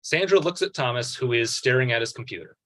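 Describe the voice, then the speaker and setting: A man's voice: mid-range voice, lively intonation, fast, sharp tone. A man reading out a script's stage directions as scripted narration at a table read.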